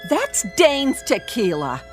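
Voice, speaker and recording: High-voiced, wary gangster's girlfriend. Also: wildly swooping pitch, slow pace, over music, heavily acted. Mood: warning. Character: wary, sharp-tongued female kept girlfriend of a gangster. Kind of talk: radio drama acting.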